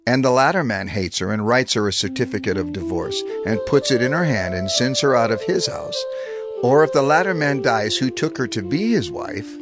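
A solemn male lawgiver addresses his people in an audio scripture drama. His delivery is declamatory and authoritative, with lively, sweeping intonation. Music plays clearly behind his deliberate words. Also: medium pitch. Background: background music.

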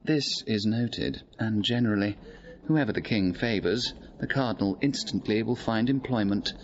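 Man playing a deep-voiced grave gentleman. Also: normal speed, dull audio, slight background noise, lightly acted. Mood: confiding, wry. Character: grave, well-informed gentleman recounting court news. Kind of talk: Shakespearean radio drama acting.

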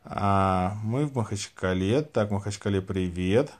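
A man's voice: on the low side, measured pace. Man chatting casually on a livestream. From Saint Petersburg, Russia. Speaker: livestream host. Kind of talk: casual chat, reading out and answering viewers' questions. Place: small room indoors, lying back on pillows. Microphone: phone at arm's length.

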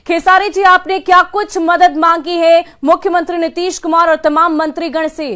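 A woman conducts a formal news interview. Her voice is extremely high in pitch, and she phrases her questions in the manner of a reporter. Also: flat delivery, moderate pace, a bit bright.

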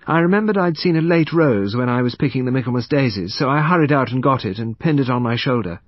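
A man voicing a brisk, defensive young upper-class woman in an audiobook, clipped and matter-of-fact. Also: mid-range voice, expressive, dry recording, lo-fi sound, pitched above the performer's own voice, lightly acted. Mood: explaining, defensive. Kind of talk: audiobook character voice.

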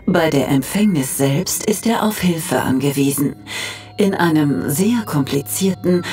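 An actress playing a solemn cosmic encyclopedia narrator, reading deadpan documentary text in an audio drama. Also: female voice, fairly low pitch.

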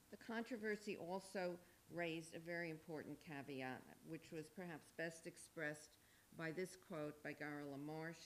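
A woman's voice: low voice, slight background noise. An older woman reading a prepared lecture formally at a table microphone in a wood-panelled hall. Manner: reads formally, closes with questions. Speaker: panel speaker.

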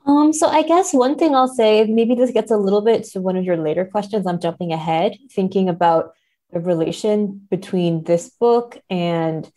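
A woman's voice: medium pitch, normal speed, dark tone. A woman speaking as a podcast guest, giving thoughtful conversational literary analysis in an explanatory way.

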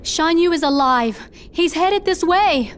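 A woman's voice: very high voice, lively intonation, unhurried, a little hiss or hum. An actress playing a brave, earnest and resolute young woman warrior in a game cutscene. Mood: urgent.

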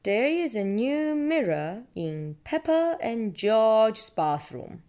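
A woman reads a picture book aloud to a small child at an unhurried pace. Her pitch moves up and down a lot, and she keeps the words simple and encouraging. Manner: expressive, encouraging, simple child-directed speech. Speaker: parent reading aloud to a child. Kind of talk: read-aloud children's storybook narration. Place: indoors at home, seated with book.

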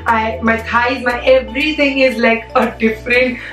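A young woman talks in a hoarse, fairly high voice, chatting casually to her viewers with plenty of "like" fillers. She sits a little back from the mic in an echoey room, with faint music underneath. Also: fairly even intonation, normal speed, a bit bright, far from the mic. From India. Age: young adult. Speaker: beauty vlogger.

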